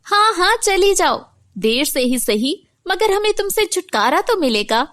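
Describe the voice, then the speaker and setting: A spiteful young sister from a cartoon fairy tale, acted by a woman. Her pitch is very high and swings widely, with a sneering, taunting delivery. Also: very animated, a little slow, a bit bright, heavily acted. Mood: scornful. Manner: taunting, sarcastic.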